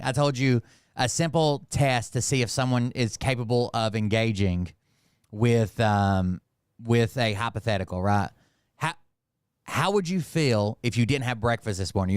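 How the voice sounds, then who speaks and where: A man's voice: mid-range voice, clear, resonant tone, no room sound, clean studio sound. A man from Montreal hosting casual political commentary in a blunt, mocking, sweary way. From Montreal, Canada. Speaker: host commenting on political clips.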